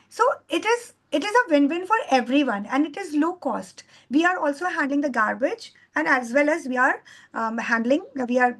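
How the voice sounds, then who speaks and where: A middle-aged Indian woman whose first language is Hindi, giving an earnest, rambling talk with many fillers and restarts as a guest trainer over a video call. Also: high-pitched. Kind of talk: online lecture.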